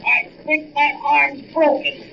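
A man's voice: extremely high pitch, level tone, slow pace, gravelly, pushed and bright, full character voice. An actor playing an insistent stranded man, calling out short commands in 1940s radio style. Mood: urgent. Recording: muffled old radio, faint noise, distant.

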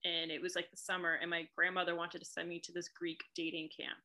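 Bright-voiced chatty woman from New England. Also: lowish voice, completely flat delivery, quick pace. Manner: chatty, laughs often, lots of fillers. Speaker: author guest. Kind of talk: casual chat.

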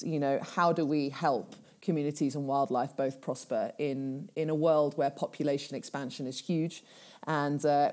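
Low mellow-voiced woman from Kenya. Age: 30s. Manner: thoughtful, many fillers and restarts. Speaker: guest, charity founder. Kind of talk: casual interview talk.

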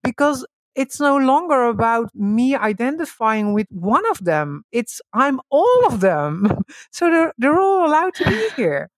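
A woman whose first language is Dutch talks through spiritual ideas in a relaxed way. She restarts often, laughs easily and jumps high when excited. The sound is dry and close, with no room around it. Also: measured pace, no echo, poor audio. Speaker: guest.

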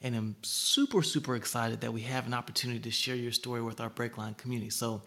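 Upbeat male podcast host, mid pitch, effusive and encouraging, casual interview delivery. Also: quick pace, bright, forceful tone.

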